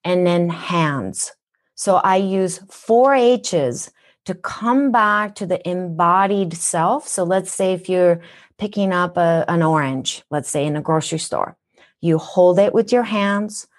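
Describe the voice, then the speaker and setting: Lower-voiced woman with Minnesotan accent. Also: takes it slowly. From Japan. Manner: earnest, teacherly, rhetorical questions. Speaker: guest wellness practitioner. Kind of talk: podcast interview.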